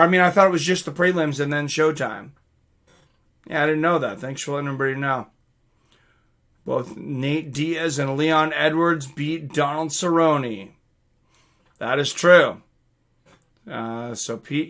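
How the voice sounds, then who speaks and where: A man's voice: a little high, a bit bright. A man hosting a live stream, chatting casually and reading out comments from viewers.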